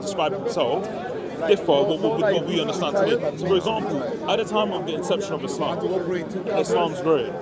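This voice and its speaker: A man whose first language is Urdu, arguing in a street debate and often restarting his sentences. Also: fairly high pitch. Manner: interrupting, halting with many restarts. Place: outdoor public speaking corner. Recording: loud crowd around.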